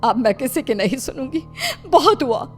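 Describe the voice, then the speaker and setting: A woman acts out an anxious mother in an animated story. The voice is high and very lively in pitch, doting but fretful and pleading. Music sits behind it. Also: moderate pace. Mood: indignant.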